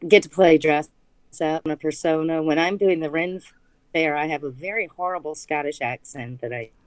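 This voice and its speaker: A woman guest on a casual interview podcast, speaking haltingly with restarts and easy laughter. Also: low-pitched, no echo. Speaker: guest, small business owner.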